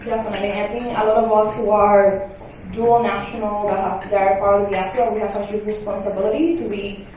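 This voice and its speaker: An earnest young woman from the Dominican Republic speaks on a conference panel, English her second language after Spanish. Her voice is mellow and warm-toned. It carries with heavy echo from a distance across a large hall. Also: medium pitch, level tone, brisk, a little hiss or hum. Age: young adult. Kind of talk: panel discussion. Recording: echoey hall, mic heard from a distance.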